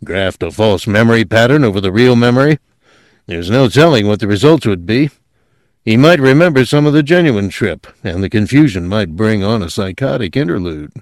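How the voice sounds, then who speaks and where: A man's voice: on the low side, expressive, moderate pace, dry recording, pitched above the performer's own voice. A man voicing a worried but decisive male technician in an audiobook, reasoning urgently.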